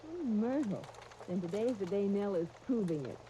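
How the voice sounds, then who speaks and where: A woman's voice: mid-range voice, big pitch swings, moderate pace, warm tone, lightly acted. An actress playing a confiding, enthusiastic woman in a 1930s radio commercial. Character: confiding, enthusiastic woman pitching laundry soap to friends. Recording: muffled, hissy 1939 radio broadcast.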